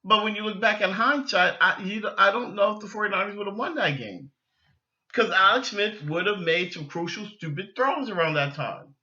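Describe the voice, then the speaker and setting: A man's voice: high-pitched, animated, moderate pace, bright, forceful tone, lo-fi sound. A man hosting a sports podcast, giving casual, opinionated fan talk in a rambling way, often restarting and repeating his phrases.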